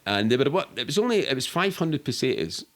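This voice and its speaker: A man's voice: mid-range voice, very animated, fast, a bit bright. A middle-aged Scottish man telling stories casually as an interview guest, rambling and sweary in a matter-of-fact way. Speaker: podcast guest.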